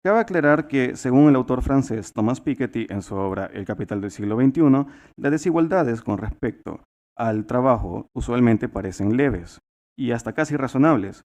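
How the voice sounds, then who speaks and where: A man's voice: medium pitch, expressive, normal speed, darkish tone. A man in his twenties from El Salvador giving a formal talk at a youth summit, earnest, with frequent fillers and restarts. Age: 20s.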